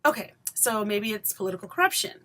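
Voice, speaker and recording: A woman from Haiti, likely in her forties, delivering a scripted personal essay to camera in a measured, emphatic, pointed way with deliberate pauses. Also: mid-range voice, sing-song, sharp tone. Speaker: vlogger and education scholar.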